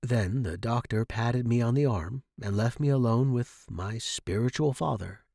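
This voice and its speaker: A narrator giving an expressive, theatrical first-person reading of fiction. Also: medium pitch, a little slow, creaky, no echo, studio quality. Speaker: story narrator.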